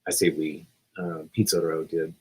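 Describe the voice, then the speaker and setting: Rambling man with a husky voice. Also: extremely low pitch, flat delivery, moderate pace, darkish tone, low-quality recording. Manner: rambling, many restarts and fillers. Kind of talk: casual podcast discussion.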